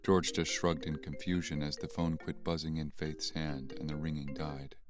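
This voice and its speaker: A man's voice: rumbling low, flat delivery, moderate pace, music in the background. A man narrating an audio drama as scripted storytelling.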